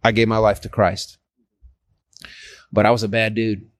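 A man's voice: fairly low pitch, little pitch movement, normal speed, no room sound. A man in his forties sharing his faith with a stranger in an earnest, persuasive casual conversation. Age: 40s. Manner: earnest and persuasive, frequent restarts. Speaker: street evangelist.

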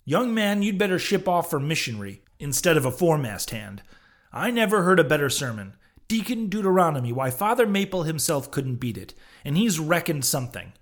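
Man acting a loud, blustering old whaling captain. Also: fairly high pitch, very animated, a little fast, pitched above the performer's own voice. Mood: hearty, excited. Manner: loud, hearty, commanding, old-fashioned seafaring talk. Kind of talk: audiobook character voice.

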